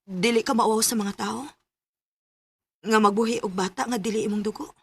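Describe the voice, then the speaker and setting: A woman's voice: fairly high pitch, fairly even intonation, moderate pace, slightly rough. A woman acting a hurt, stubborn young unwed mother in a Bisaya radio drama, with emphatic delivery. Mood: subdued, sad.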